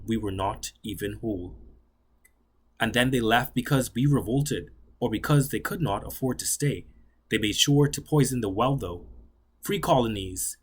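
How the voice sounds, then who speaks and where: A young man from the Bahamas, reading his own poem aloud in a measured, solemn way that slows and sinks on the closing lines. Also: mid-range voice, breathy edge. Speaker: graduate student poet. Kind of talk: spoken-word poetry reading.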